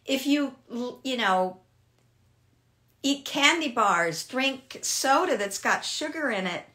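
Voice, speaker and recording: A woman in her sixties answering fitness questions in a chatty, animated way during a casual live Q&A from a home room. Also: high-pitched, takes it slowly. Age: 60s. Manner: chatty, animated, laughs often. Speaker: fitness host. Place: home room with shelves, indoors.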